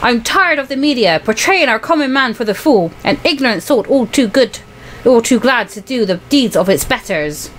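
A weary, solemn older leader acted out by a woman delivers a grave televised address from a visual novel. The voice is high and animated in its declaiming. The home recording carries some faint noise. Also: moderate pace. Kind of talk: dramatic political address read from a visual novel.

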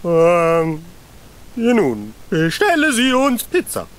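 A man's voice: sits high, wildly swooping pitch, slow, exaggerated voice. A man voicing a pompous self-styled king in a cartoon adventure game, declaiming in grandiose mock-archaic speech. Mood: commanding. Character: pompous self-styled king leading a fantasy role-play.

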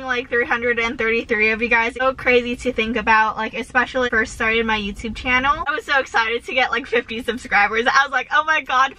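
Young woman, bubbly filler-heavy car vlog, bright, slightly high voice, recorded on a dashboard camera. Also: low-quality recording. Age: young adult. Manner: bubbly, says "like" constantly, giggly. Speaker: vlogger. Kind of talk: casual chatty vlog with eating. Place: inside a parked car. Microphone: camera on dashboard facing her.

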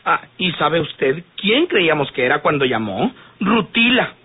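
An actor playing a fretful, excitable man in an old radio soap, gushing and agitated. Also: a little high, unhurried, husky, heavily acted. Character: fretful, excitable man sheltering a wounded friend. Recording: tinny band-limited old radio drama.

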